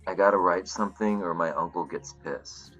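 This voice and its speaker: Low-voiced, blunt young store manager played by a man. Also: moderate pace, fairly bright tone, dull audio, faint music behind. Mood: blunt, puzzled. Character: Seth, blunt young video store manager, twenty-five. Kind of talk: table read acting of a TV pilot script.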